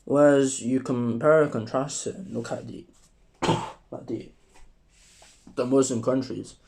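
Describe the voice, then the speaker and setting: A young man with a sore, strained throat, talking casually to camera in a rambling, profane monologue while trying to keep his voice deeper. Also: vocal fry. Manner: rambling, profane, relaxed and deliberately deeper delivery. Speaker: vlogger.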